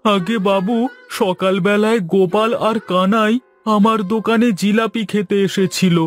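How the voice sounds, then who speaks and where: A timid cartoon sweet-shop keeper acted by a man, with a very high voice for a man. He sounds anxious and humble, pleading deferentially over light background music. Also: fairly even intonation, a little slow. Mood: anxious, aggrieved. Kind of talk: animated cartoon voice acting in Bengali moral story.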